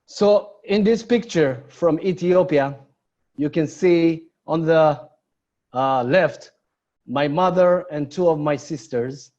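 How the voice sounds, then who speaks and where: A man's voice: on the high side, slow, a little mellow. A man in his forties from Israel with an Israeli accent, giving a personal lecture with slides over an online call. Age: 40s. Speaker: guest lecturer. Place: online webinar from Beersheba. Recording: slightly dull online call audio.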